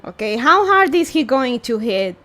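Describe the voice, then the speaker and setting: A woman's voice: high voice, big pitch swings, moderate pace, a bit bright, a little hiss or hum. A woman streaming a game, casually commenting and muttering playful reactions to herself. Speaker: streamer reading a game story aloud. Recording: music behind the voice. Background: faint background music.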